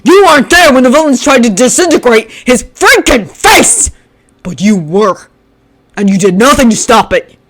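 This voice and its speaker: A narrator voicing a cold, resentful teenage boy who speaks low and seething and then shouts accusations. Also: normal speed, background noise, natural voice. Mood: shouting.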